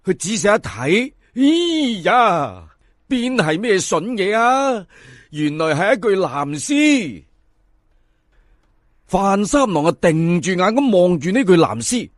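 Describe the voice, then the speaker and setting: A Cantonese man tells a folk story with lively swings of pitch. His voice sits a little high for a man, and he is theatrical and chatty, addressing the audience directly. The recording is dry and close. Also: no echo. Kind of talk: dramatic folk-tale narration in episodes.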